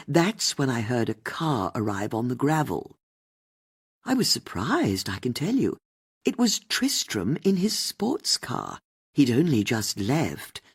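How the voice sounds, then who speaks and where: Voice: mid-range voice, slightly rough, full character voice. An audiobook narrator voicing a haughty, indignant, upper-class older English lady who is opinionated and full of disdain. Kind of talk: audiobook character dialogue.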